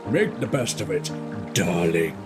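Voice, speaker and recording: A man's voice: low-pitched, lively intonation, measured pace, over loud music, pitched below the performer's own voice. A man voicing a quaint, gracious villager from a video game, speaking formally and courteously. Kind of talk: video game dialogue read aloud in character.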